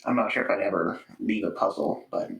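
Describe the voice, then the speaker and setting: Low, gravelly man chatting thoughtfully. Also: fairly quick, a bit bright. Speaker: interview guest, voice actor. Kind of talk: casual interview chat. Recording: slightly distant microphone.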